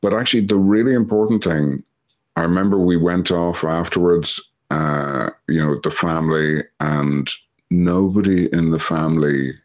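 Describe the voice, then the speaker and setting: A man's voice: sits low, moderate pace. A middle-aged Irish man sharing his story on a video call, rambling with many fillers and a dry, sweary humor. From Ireland. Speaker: recovery meeting speaker.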